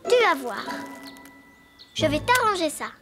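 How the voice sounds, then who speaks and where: Cartoon girl elephant: bold, bossy, very high, swooping delivery. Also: sing-song, unhurried, over loud music, exaggerated voice. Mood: bossy, confident. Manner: confident, emphatic, exclamatory. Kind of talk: animated children's cartoon acting.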